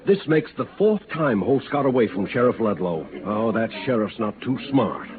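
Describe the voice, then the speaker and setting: An actor playing a worried, self-important bank president in a 1950s Western radio drama, hesitant and flustered. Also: male voice, mid-range voice, animated, normal speed, natural voice. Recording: tinny old radio, crowd murmur behind.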